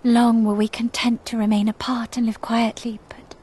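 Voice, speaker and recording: An actress playing a quiet, guarded tribeswoman in a fantasy game, speaking in terse, measured, cryptic phrases. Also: a little high, level tone, husky. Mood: reserved, calm. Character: quiet, guarded tribeswoman of humble steppe people. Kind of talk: fantasy game cutscene acting.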